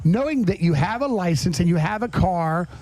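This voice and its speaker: A man's voice: sits high, lively intonation, moderate pace, darkish tone, no room sound, low-quality recording. A middle-aged man hosting a podcast, chatting casually in a rambling, self-interrupting way. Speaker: podcast host.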